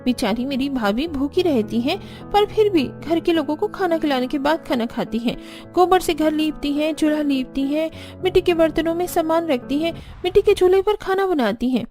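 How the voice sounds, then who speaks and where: A woman's voice: sits high, expressive, normal speed, hardly any pauses, a little mellow. A woman playing a spoiled, complaining young married daughter in a cartoon. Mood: indignant. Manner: complaining, indignant. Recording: background music.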